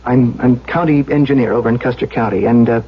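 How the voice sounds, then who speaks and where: An actor playing an earnest, anxious county engineer in a seventies radio drama, stammering and pleading hesitantly. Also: male voice, mid-range voice, fast talker, breathy edge, lightly acted. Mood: nervous, earnest. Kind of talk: 1970s radio drama acting. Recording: dull lo-fi old radio broadcast.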